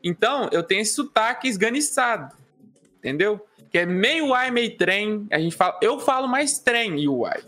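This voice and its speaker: A man's voice: high voice, moderate pace. A man with a Minas Gerais accent, a guest comedian chatting and joking on a casual podcast. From Minas Gerais, Brazil. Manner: joking, animated, acts out quoted voices.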